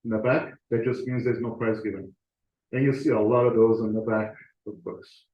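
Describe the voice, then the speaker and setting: A man's voice: medium pitch, little pitch movement, normal speed. A man giving a casual talk to his club over slides, conversational, with fillers and restarts. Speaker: club presenter. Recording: roomy, dull lo-fi sound.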